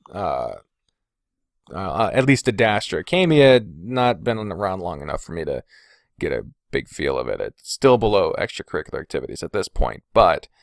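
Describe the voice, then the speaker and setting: A man's voice: mid-range voice, dry recording. Man, podcast host, mid-range voice, casual rambling, candid, hesitant, occasional swearing, dry sound. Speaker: podcast host and video creator.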